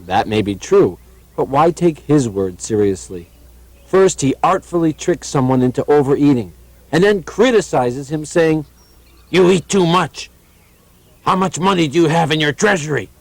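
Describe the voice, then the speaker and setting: Guy voicing an earnest monk puppet, kinda high, indignant and pleading. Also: animated, moderate pace, darkish tone, no echo. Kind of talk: puppet show voice acting.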